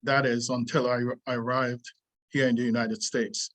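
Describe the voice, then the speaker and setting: An older man reads his own essay aloud. His voice sits at an ordinary middle pitch, and his delivery is earnest and deliberate, with pauses and lines picked up again. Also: level tone. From Trinidad. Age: 60s. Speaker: martial arts teacher. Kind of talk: reading a prepared essay aloud.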